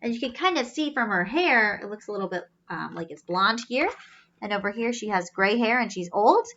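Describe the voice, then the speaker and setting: A woman's voice: medium pitch, big pitch swings, fairly quick, bright, forceful tone, dull audio, slight background noise. A woman reading a children's storybook aloud expressively, adding explanatory asides and wondering aloud to young listeners.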